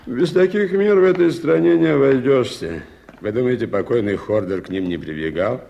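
An actor playing a polite, well-informed gentleman in a Soviet radio play, speaking in a measured, explanatory way. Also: mid-range voice, animated, vocal fry, muffled recording. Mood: earnest, explanatory. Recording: noisy 1980s radio play recording.

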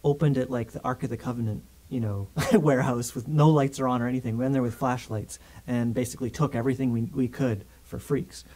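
Canadian guy in his 30s, warm mellow voice, chatty and animated, studio mic interview. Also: mid-range voice, fast, hardly any pauses, rough recording. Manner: animated, gestures a lot with hands while talking. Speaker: filmmaker guest. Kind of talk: casual interview chat. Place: small studio with grey backdrop. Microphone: studio condenser mic on stand.

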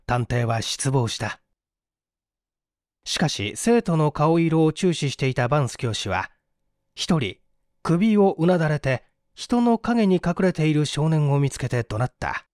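A man's voice: on the high side, expressive, a little slow, a little mellow, no room sound, studio quality. A man reading a mystery story as an audiobook narrator, in a formal, theatrical style.

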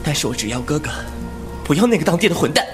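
A man's voice: fairly high pitch, very animated, fast, over music. A man playing a cheeky young martial-arts hero in a wuxia audio drama, playful, mouthy and mock-grumbling. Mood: eager.

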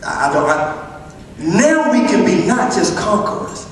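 High-pitched young preacher in an echoey hall. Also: male voice, sing-song, moderate pace, slight background noise. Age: young adult. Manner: emphatic, repeats phrases, often raises voice to a shout. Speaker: youth preacher. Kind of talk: impassioned sermon to young people. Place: large church hall, indoors. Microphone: handheld microphone through PA, filmed by distant camera. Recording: echoey hall from far off, crowd behind.

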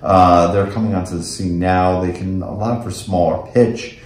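A man's voice: low-pitched, steady tone, moderate pace. A middle-aged American man giving a technical product demonstration and explanation as a company representative in an office. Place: indoors in a small office meeting room. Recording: echoey room.

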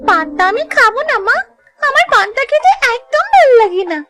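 A woman's voice: very high-pitched, sing-song, a little fast, music in the background. A woman voicing a talkative, cheeky little village girl in a cartoon. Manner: talkative, answers back. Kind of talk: cartoon voice acting.

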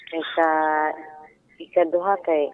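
A man's voice: a little high, level tone, unhurried, dry recording. A man with a Cebuano Filipino accent calling a radio show by phone, chatting casually and a bit hesitantly. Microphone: telephone. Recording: phone call over music.

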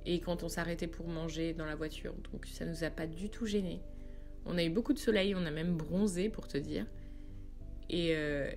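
A woman's voice: lowish voice, a little mellow. A woman from France narrating a travel vlog in an informal, confiding way, speaking directly to the viewer. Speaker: travel vlogger. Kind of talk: chatty voice-over narration of a road trip. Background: background music.

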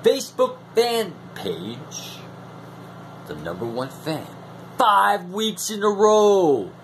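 Bombastic man with wildly swinging pitch. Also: big pitch swings, a little hiss or hum. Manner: bombastic showman, drawn-out words, sudden whoops. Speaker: wrestling fan video host. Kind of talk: theatrical wrestling-promo monologue to camera. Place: small room at home.

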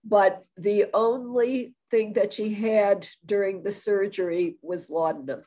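Older woman guest speaker, nasal from a cold, chatty, halting delivery on a webcam. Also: medium pitch, mellow. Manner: chatty, with frequent ums and restarts. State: has a cold. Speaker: guest historian. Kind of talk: casual lecture over video call. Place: home room indoors. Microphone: video call webcam.

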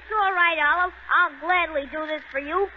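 A woman's voice: very high-pitched, normal speed. A woman playing a plucky, boastful little boy adventurer in an old children's radio serial. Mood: bold. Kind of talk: 1930s children's radio acting. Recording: muffled, hissy 1930s radio recording.

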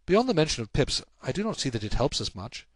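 A man voicing an anxious, helpless young gentleman in a storybook, recounting his troubles in an earnest, measured, formal way. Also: medium pitch, sing-song, no room sound, pitched above the performer's own voice. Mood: hesitant, earnest. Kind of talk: audiobook character acting.

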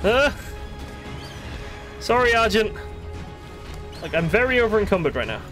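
A man giving casual, sweary live commentary as he plays a video game, excitable and exasperated, muttering to himself and at the game's creatures. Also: high voice, unhurried, soft background music.

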